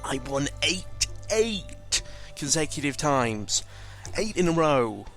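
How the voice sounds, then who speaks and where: A man's voice: fairly high pitch, very animated, slow pace, a bit bright, faint music behind. A man giving casual, rambling game commentary, informal and sweary, often correcting himself as he goes. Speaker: gaming video commentator.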